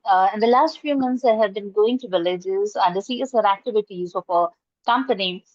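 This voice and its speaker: A woman's voice: mid-range voice, dry recording, highs cut off. A middle-aged woman from India with an Indian accent, whose first language is Urdu, answering interview questions earnestly over a video call with many fillers and restarts. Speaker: guest, college professor and trainer. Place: indoors at home, curtain behind.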